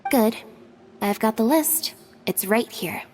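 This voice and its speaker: An actress playing a quiet, unruffled young woman in a video game, with a terse, deadpan manner. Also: on the high side, slow pace, big-room sound, noisy background. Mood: flat, matter-of-fact.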